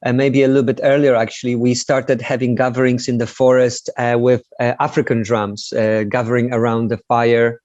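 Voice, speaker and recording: A man from Poland, chatting as a guest in an interview in a relaxed, self-deprecating way with many fillers. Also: mid-range voice, fairly quick, hardly any pauses, no echo. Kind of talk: casual interview chat. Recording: dry, slightly dull sound.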